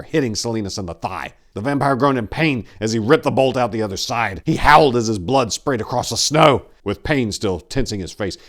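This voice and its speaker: Low-voiced man reading dark tales dramatically. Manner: theatrical, brooding, deliberate delivery. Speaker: narrator and channel host.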